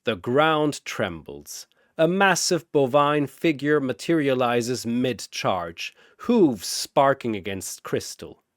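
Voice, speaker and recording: A man narrating a scripted fantasy battle story in a dramatic, theatrical style with deliberate phrasing. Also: mid-range voice, big pitch swings, dry recording, studio quality.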